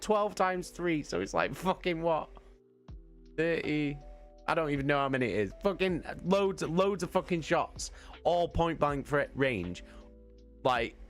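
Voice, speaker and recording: A man casually telling a rambling story, excitable and sweary, hammering points home by repeating them. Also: on the high side. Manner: sweary, excitable, emphatic repetition, giggly. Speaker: live streamer telling a story.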